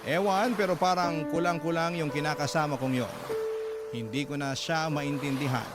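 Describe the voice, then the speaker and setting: A man's voice: on the high side, moderate pace, natural voice. A man reading an aggrieved young mother's letter as radio drama narration, confiding and sardonic. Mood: exasperated, baffled. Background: loud background music.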